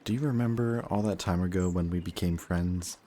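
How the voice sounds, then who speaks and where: A man's voice: sits low, brisk, warm tone, natural voice. A man playing a reformed antihero and affectionate best friend in an intimate audio roleplay, speaking to the listener in a hesitant, confiding, conversational way. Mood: remorseful, sincere.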